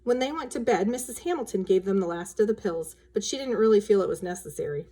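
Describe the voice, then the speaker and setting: Female storyteller for a children's book read-aloud, expressive, changing her voice for dialogue. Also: mid-range voice, quick pace, slightly rough.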